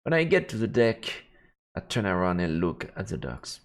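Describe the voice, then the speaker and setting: A man's voice: deep voice, big pitch swings, moderate pace. A man streaming a visual novel, reading the narration aloud and adding casual reactions.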